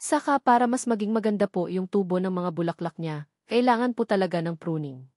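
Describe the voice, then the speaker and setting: A woman voicing a calm, patient, respectful gardener, a man in his late thirties, in an audio love story. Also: mid-range voice, brisk, no room sound, studio quality, close to an everyday voice. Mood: calm, polite. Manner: calm, respectful, soft-spoken explaining.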